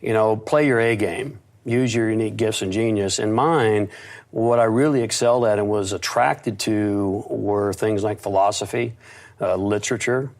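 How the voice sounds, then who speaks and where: A man's voice: fairly low pitch, fairly even intonation, normal speed, a little mellow. A middle-aged man from the United States, an interview guest, telling his life story in a confident, reflective way with some stuttered restarts.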